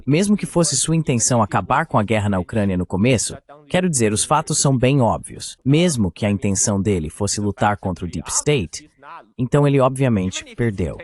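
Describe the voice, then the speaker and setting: A man giving translated voice-over political commentary, opinionated and fond of rhetorical questions. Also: medium pitch.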